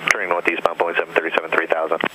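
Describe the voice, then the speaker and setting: A man's voice: low voice, monotone, very fast. A man working as an air traffic controller, giving clipped procedural instructions over aviation radio. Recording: noisy aircraft radio transmission.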